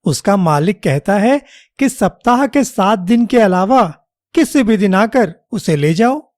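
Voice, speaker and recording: A man playing a clever, loyal royal minister in an animated children's story, polite and deferential. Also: high-pitched, a little slow, no echo, studio quality. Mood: sly, polite.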